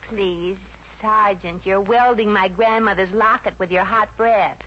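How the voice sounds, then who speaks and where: A woman's voice: mid-range voice, steady tone, measured pace, lightly acted. An actress playing a sassy, streetwise young woman with flippant slang in a 1950s radio comedy. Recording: noisy, dull old 1950s radio recording.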